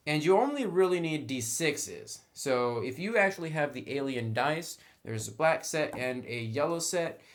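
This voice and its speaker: Casual man reviewing tabletop games. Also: mid-range voice. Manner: informal, wry sarcastic asides. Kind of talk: semi-scripted review narration with casual asides.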